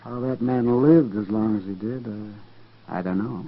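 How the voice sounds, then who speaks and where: An actor playing a grim, weary frontier doctor, halting and matter-of-fact, in a 1950s radio western. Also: male voice, fairly low pitch. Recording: muffled vintage radio recording.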